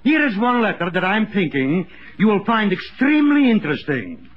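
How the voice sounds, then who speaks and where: Comic tavern helper, high voice, wildly swinging intonation, formal broken English, old radio comedy. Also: male voice, wildly swooping pitch, moderate pace, full character voice. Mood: sly, deadpan. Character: slow-talking foreign comic helper at a tavern. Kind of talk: 1950s radio comedy acting. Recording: noisy muffled 1950s radio recording.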